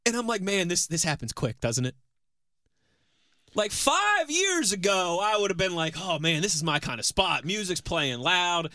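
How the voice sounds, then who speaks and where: A teasing co-host in his early thirties, telling a laid-back anecdote on a sports talk show. His voice is bright and sits a little high. It is recorded dry and close. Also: big pitch swings, normal speed, no echo. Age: 30s. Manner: teasing, chatty anecdote-teller. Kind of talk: casual sports radio banter, storytelling.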